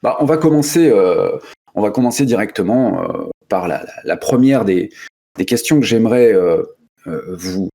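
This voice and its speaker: A man's voice: lowish voice, lively intonation, normal speed, warm tone. A male host opening a radio discussion show, speaking with frequent hesitations and restarts. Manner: hesitant, many fillers and restarts.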